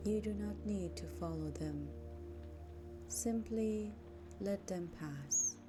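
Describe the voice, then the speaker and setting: Very slow woman guiding meditation. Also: mid-range voice. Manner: gentle, measured, with long pauses between short phrases. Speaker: meditation guide. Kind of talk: slow guided meditation narration. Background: music.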